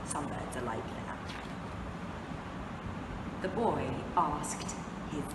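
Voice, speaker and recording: A woman reading a children's storybook aloud expressively, with dramatic phrasing and deliberate pauses for young listeners. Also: low voice, expressive. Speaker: English teacher reading a picture book aloud. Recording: echoey, reverberant room, distant mic, noisy background.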